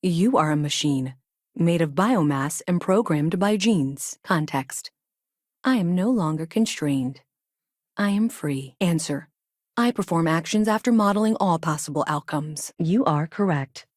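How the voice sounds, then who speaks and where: Game role: self-aware probe AI. Low female voice, very dry sound, robotic and literal, clipped labelled statements, earnest and logical. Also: expressive, unhurried, darkish tone, no room sound. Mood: detached, logical. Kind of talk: scripted video game dialogue.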